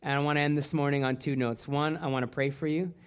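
A man's voice: on the high side, steady tone, fast talker, clear, resonant tone. A man from Canada, a pastor preaching a sermon in church, earnest, often restarting and repeating words.

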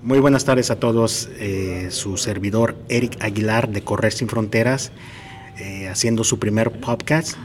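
A man's voice: fairly low pitch, measured pace, soft background music. A man hosting a podcast interview, speaking casually with many fillers and hesitations. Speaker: podcast host. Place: outdoors at a marathon event.

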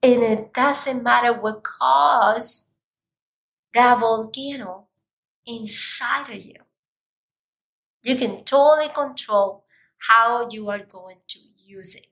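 A woman with a slightly raspy voice giving an emphatic, deliberate motivational talk, as a coach speaking directly to viewers. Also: fairly high pitch, a bit bright, low-quality recording.

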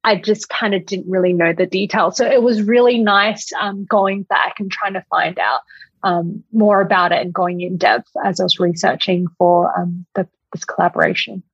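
A woman's voice: medium pitch, fairly even intonation, moderate pace, slightly rough. A woman with an Australian accent chatting casually as an interview guest, with plenty of "like" and "you know". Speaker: podcast guest, quilt designer. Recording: echoey room, slightly muffled audio.

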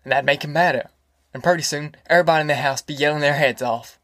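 A man's voice: fairly high pitch, steady tone, fast, a bit bright, dry recording, clean studio sound, close to an everyday voice. A man voicing a boisterous older farmer father in an audiobook, rambling and folksy, with a rural Southern American accent. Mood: amused, boisterous. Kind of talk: audiobook character acting.

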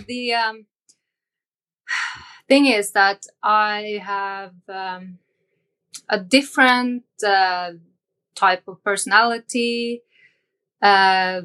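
Slow, hesitant Norwegian woman. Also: medium pitch, a bit bright. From Norway. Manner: hesitant, with many ums and uhs, searching for words. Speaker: podcast guest. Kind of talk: casual interview conversation.

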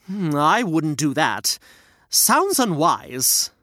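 A playful, punning sidekick, voiced by the storyteller, tossing in asides with lively swings of pitch. It is recorded close and dry, with no room sound. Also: extremely slow, dry recording, heavily acted. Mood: joking. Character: jokey sidekick named Reg, quick with puns.

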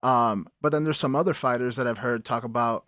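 A man's voice: medium pitch, fairly quick, fairly bright tone, no room sound, clean studio sound. A man interviewing a guest, asking long, hesitant questions full of "um" and "uh". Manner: hesitant, filler-heavy questions.

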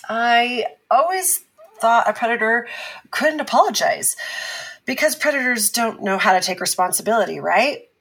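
A woman playing an earnest abuse survivor and advocate as a talk-show guest in a fiction podcast, speaking in a measured, explanatory way. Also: fairly high pitch, lively intonation, a bit bright, breathy edge, heavily acted.

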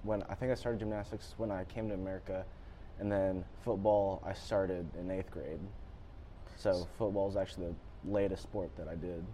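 A man's voice: low-pitched, steady tone, normal speed, mellow, a little hiss or hum, low-quality recording. A teenage boy born in Japan, answering interview questions politely and often saying "yes, sir". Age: teens. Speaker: student athlete guest.

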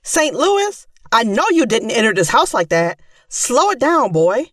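A woman's voice: on the high side, big pitch swings, a little slow, fairly bright tone, no echo, exaggerated voice. A woman playing a firm, chatty mother in an audio drama. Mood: scolding. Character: firm, chatty Southern mother, middle-aged. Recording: dry but distant from microphone.

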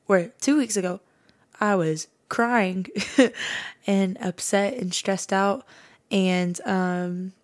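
A young woman hosting a faith podcast, her voice raspy and hoarse from late nights, talking in a rambling, candid, casual way. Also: on the low side. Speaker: podcast host.

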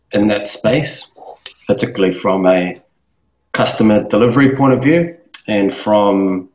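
A man in his thirties from New Zealand speaks as an interview guest, his voice sitting low. He thinks aloud, hesitating and restarting often. The sound is roomy and a little dull. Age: 30s. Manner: thoughtful, with many fillers and restarts. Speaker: guest, business owner. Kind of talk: casual interview talk.

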